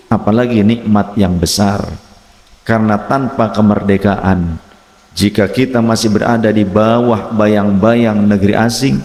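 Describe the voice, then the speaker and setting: A male preacher with an Indonesian accent and a fairly low voice. He delivers patriotic religious oratory in deliberate, repeated rhetorical phrases. The sound is very echoey, with a long hall reverb trailing his words. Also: a little mellow.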